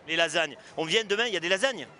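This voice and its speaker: A playful, fast-bantering man in his forties speaks with a Marseille accent, his voice pitched high and bright. He teases passers-by as a vox-pop reporter, holding a handheld mic in a busy indoor shopping mall. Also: animated, soft background music. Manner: teasing, playful, quick banter. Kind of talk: joking street interviews.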